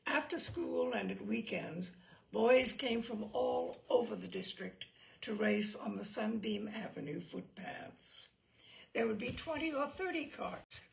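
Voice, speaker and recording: A woman reading a literary story aloud in an expressive, theatrical and deliberate way, with a gravelly, creaky voice. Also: fairly high pitch.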